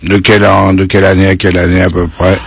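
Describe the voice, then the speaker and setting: A man hosting a radio interview, reading out announcements and questions in a formal, steady way. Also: low-pitched, fast, vocal fry, a little hiss or hum. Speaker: radio host. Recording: dull radio broadcast with faint music.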